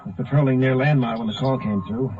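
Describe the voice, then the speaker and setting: A muffled old radio recording carries a man acting a sober American highway patrolman. His voice is mid-pitched and plain, reporting in short factual phrases in 1950s radio drama style. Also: fairly even intonation, fast talker, darkish tone, close to an everyday voice. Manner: clipped, factual. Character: plain-spoken, matter-of-fact Texas highway patrolman.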